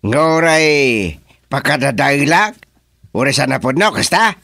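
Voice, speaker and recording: Guy acting complaining ilocano husband in radio drama, slow talking, bright tense voice, defensive. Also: medium pitch, very animated, vocal fry, no room sound, exaggerated voice. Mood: aggrieved.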